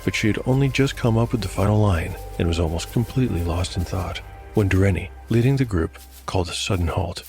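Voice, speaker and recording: A man with a low voice delivers scripted narration as the host of a fantasy audio drama. Music plays clearly behind him, along with chirping insects. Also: a little fast, darkish tone.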